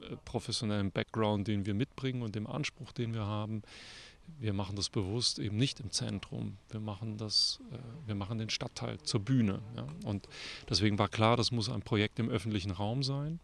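A man's voice: lowish voice, steady tone, normal speed, gravelly. A man explaining in an interview, rephrasing his points for emphasis. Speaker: project organiser interviewee.

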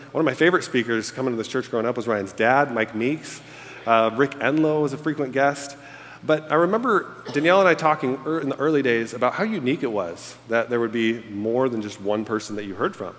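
A man's voice: mid-range voice, a bit bright. Candid man speaking in a reverberant hall. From Egypt. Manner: candid, self-deprecating storytelling with frequent fillers. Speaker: church staff member giving a farewell speech. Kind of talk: farewell speech to a congregation. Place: church auditorium on stage. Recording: long reverb of a large hall. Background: audience laughter.

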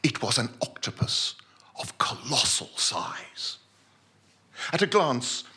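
A man playing a pompous, self-assured gentleman scientist telling his adventure in a theatrical story reading. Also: mid-range voice. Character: pompous, obsessive Victorian-style gentleman scientist recounting his deep-sea adventure.

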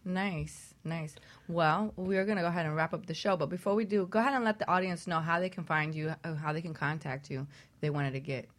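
A woman's voice: low voice, fairly even intonation, a little fast. A woman hosting a radio show in a casual, chatty style. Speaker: radio show host, yoga teacher.

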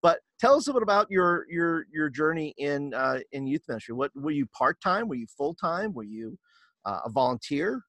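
A man's voice: fairly high pitch, very animated, moderate pace, dry recording. A man hosting an interview podcast, asking questions with many false starts and repeated words. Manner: stumbling restarts, warm questioning. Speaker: podcast host. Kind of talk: casual interview chat.

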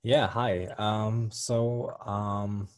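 A young German man speaks in a lowish voice with a German accent, pausing often and restarting his sentences. The sound is dry and close, with no room around it. He comes across as a thoughtful, unhurried guest. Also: steady tone, dry recording. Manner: hesitant, many ums and restarts. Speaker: comic artist guest. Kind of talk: casual interview answers.

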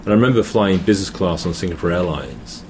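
A man's voice: low voice, fast, darkish tone, slight background noise. A man in his fifties with an English accent, a podcast guest telling reflective anecdotes in casual chat. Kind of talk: casual chat over a pint. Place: noisy hotel. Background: ambient chatter.

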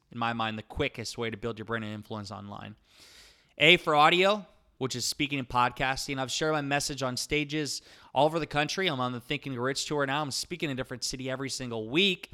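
Bright, clear-voiced motivational man. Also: mid-range voice. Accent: Iowa. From Iowa. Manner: energetic, emphatic, punchy repetition. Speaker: podcast host. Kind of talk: motivational solo podcast talk.

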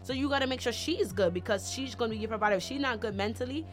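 A woman's voice: a little high, faint music behind. A woman co-hosting a casual chat, talking emphatically and repeating points. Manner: fast, emphatic, repeats herself.

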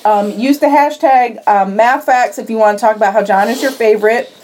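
A woman's voice: on the high side, a little fast, a little mellow, a little hiss or hum, low-quality recording. A young woman co-hosting a casual podcast chat, earnestly talking through her views. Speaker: podcast co-host.

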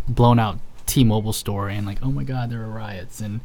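An American man from San Francisco speaking as a podcast guest, opinionated and deliberate, often searching for his words. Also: fairly low pitch, steady tone, mellow. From San Francisco, United States. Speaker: podcast guest, healthcare provider. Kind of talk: opinionated casual discussion.

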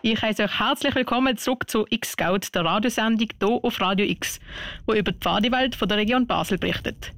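A woman's voice: on the high side, fairly quick, a bit bright, no room sound. A woman with a Swiss German accent presenting a radio show as host.

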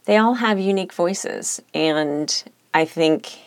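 A woman hosts a solo podcast in a low, steady voice with a touch of vocal fry and uptalk. She talks in a reflective, measured, candid way. The sound is dry and close. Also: dry recording. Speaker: podcast host, lawyer.

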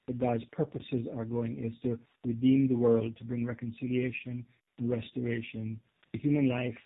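A middle-aged man with a Jamaican accent, a professor answering interview questions in a measured, thoughtful way over the phone. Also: mid-range voice. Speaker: guest theology professor. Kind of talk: earnest explanatory interview answers. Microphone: telephone. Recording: phone-line audio.

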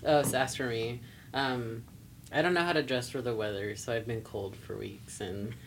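A young woman with a deep, raspy voice, casually leading a student podcast chat. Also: normal speed, slight background noise, poor audio. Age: young adult. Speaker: podcast co-host.

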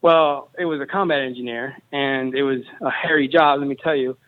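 A man's voice: medium pitch, steady tone, fairly quick. A man in his thirties from Mexico, a company founder and Army veteran, telling his story as an enthusiastic, jokey guest. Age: 30s. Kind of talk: casual interview chat. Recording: tinny phone-line audio.

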